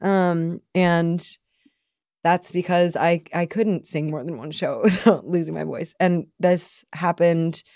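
Low-voiced woman chatting on a podcast. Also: steady tone, slow pace, darkish tone, dry recording, clean studio sound. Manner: rambling, self-deprecating, laughs often. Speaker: podcast co-host and band member. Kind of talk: casual chat.

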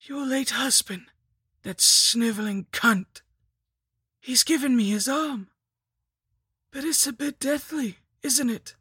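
A cruel, ancient undead sorceress from a dark fantasy audiobook. Her voice is pitched high and husky, sweetly menacing and gloating, recorded close and dry. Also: slow, long pauses, no room sound, heavily acted. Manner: mock-sweet and menacing, hissing outbursts.

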